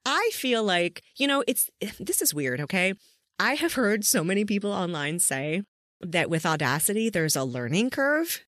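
A woman's voice: medium pitch, big pitch swings, moderate pace, fairly bright tone, dry recording, clean studio sound. A woman hosting a casual interview, effusive and informal in the way she talks. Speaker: podcast interviewer and host.

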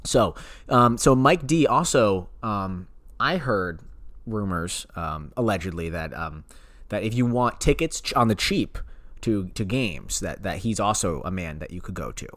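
Man with lively, halting podcast banter. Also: lowish voice, no echo. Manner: halting, repetitive, sly leading questions. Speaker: podcast co-host. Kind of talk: casual banter, mock-serious questioning.

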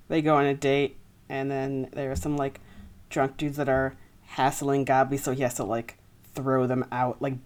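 A woman co-hosting a podcast in a casual book discussion, speaking informally and saying "like" a lot. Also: fairly low pitch. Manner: informal, heavy use of "like". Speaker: podcast co-host.

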